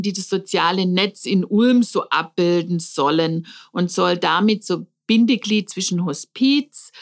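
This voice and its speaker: A woman in her fifties, a social pedagogue and podcast guest, who explains things step by step with small self-corrections and fillers. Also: on the low side. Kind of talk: podcast interview.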